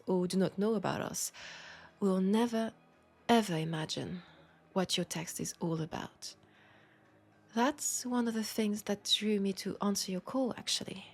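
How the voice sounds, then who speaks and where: A woman with a French accent playing an ancient, lonely, seductive female vampire in an intimate audio roleplay, soft-spoken and deliberate, tender and grave, often slipping toward a whisper. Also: mid-range voice, slightly rough.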